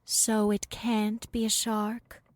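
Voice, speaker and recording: Actress as a weary, blunt woman for audio drama, mid-pitched, slow and halting, a little breathy. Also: completely flat delivery, no echo, lightly acted. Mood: confused. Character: confused, weary woman, blunt wine-drinking mother figure. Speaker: actor.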